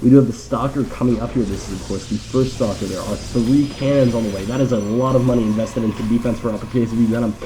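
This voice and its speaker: A man's voice: mid-range voice, fairly even intonation, quick pace, hardly any pauses, dark tone, a little hiss or hum, poor audio. A man with a nasal, congested voice from allergies gives casual live game commentary with wry, rambling, joking asides. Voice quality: nasal, stuffed-up.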